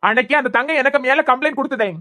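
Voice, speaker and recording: Woman playing a husband, young, bitter, sarcastic, fast-talking, repeats himself in anger. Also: high-pitched, sharp tone. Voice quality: sarcastic, bitter, repeating words when angry. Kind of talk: amateur audio drama.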